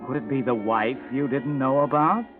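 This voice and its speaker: An actor playing a sinister, all-knowing narrator of a 1940s radio mystery, taunting and ominous, on a thin old recording with music. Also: male voice, mid-range voice, moderate pace, phone-quality sound, natural voice. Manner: taunting, ominous, second-person address. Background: prominent dramatic music.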